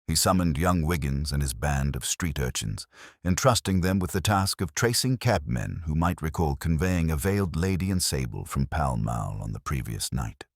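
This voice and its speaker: A man narrating a mystery story in a measured, formal, literary manner. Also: very deep voice, expressive, gravelly, dry recording, clean studio sound. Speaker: audiobook narrator. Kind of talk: scripted dramatic mystery narration.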